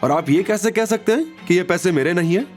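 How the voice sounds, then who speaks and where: A man's voice: high voice, expressive, fairly quick, faint music behind, voice pushed up. A man voicing a proud, defiant young man who speaks firmly and indignantly in an audio drama. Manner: firm, indignant.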